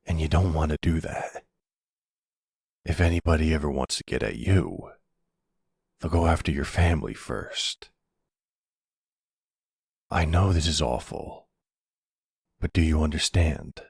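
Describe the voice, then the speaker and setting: A man playing an older, calm and commanding mafia boss with a low husky voice, speaking deliberately in an intimate roleplay audio drama. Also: dry recording, clean studio sound, exaggerated voice. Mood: grave, measured. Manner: measured, deliberate, authoritative. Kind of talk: ASMR boyfriend roleplay acting.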